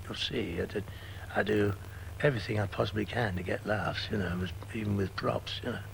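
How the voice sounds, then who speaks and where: Older man, gravelly voice, fond reminiscing, chatty interview. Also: on the low side, steady tone, brisk, noisy background. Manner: fond, reminiscing, peppered with "you know". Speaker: pantomime performer interviewed. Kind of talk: reflective interview.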